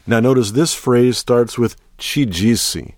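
A man's voice: mid-range voice, lively intonation, a little mellow, dry recording, studio quality. Man patiently explaining language points. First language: English. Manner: explains slowly, repeats phrases for learners. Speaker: language lesson co-host. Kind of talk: conversational language lesson.

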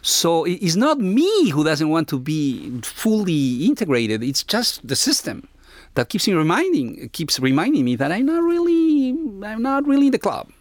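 Halting Ecuadorian-accented man, animated pitch. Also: no room sound. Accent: Ecuadorian Spanish. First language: Spanish. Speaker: interviewee, university academic. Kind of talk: reflective interview conversation.

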